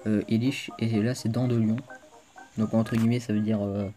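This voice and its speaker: A man's voice: on the low side, flat delivery, normal speed, dark tone. A man hosting a casual let's play video, chatting to viewers as he plays, with a stuffed-up cold. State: congested with a cold. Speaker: gaming video host.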